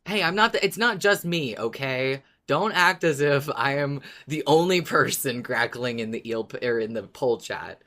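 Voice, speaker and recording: Very deep-voiced, animated woman co-host. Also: moderate pace, hardly any pauses. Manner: playfully defensive, jokey. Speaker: podcast co-host. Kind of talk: casual chat.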